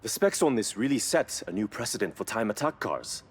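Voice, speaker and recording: Casting: earnest, knowledgeable car mechanic for a video game, raspy, animated intonation, explanatory delivery. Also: male voice, fairly low pitch, a little fast. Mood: earnest, admiring. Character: earnest, knowledgeable male car mechanic, reverent about engines. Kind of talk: scripted video game cutscene dialogue.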